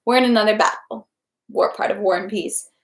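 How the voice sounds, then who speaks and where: A woman's voice: medium pitch, brisk. A woman hosting a read-aloud livestream, speaking informally in an echoey indoor room. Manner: informal, rambling, self-interrupting. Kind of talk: casual livestream chat, then audiobook-style reading.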